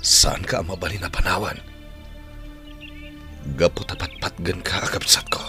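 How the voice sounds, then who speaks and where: Radio drama lead: tender, protective older brother, low earnest voice, light music underneath. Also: male voice, fairly even intonation, moderate pace, sharp tone. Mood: tender concern. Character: gentle, caring man, protective older brother.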